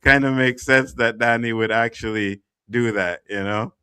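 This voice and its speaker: Joking male host, bright tone, dry mic. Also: medium pitch, fairly even intonation, normal speed, clean tone, no echo. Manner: loose, swearing, joking. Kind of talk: casual podcast chat.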